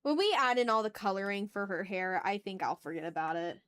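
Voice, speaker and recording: Female streamer, medium pitch, informal and rambling, thinking out loud in casual chat while she works. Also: expressive, brisk, smooth. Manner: informal, mutters to self, mild swearing. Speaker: art livestream host.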